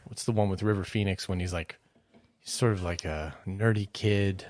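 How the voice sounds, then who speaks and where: A man's voice: deep voice, moderate pace, darkish tone, dry recording. A man in his forties hosting a podcast, laughing often and talking with many fillers and hesitations. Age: 40s. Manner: giggly, hesitant, full of fillers. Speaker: podcast host. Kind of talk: casual interview chat.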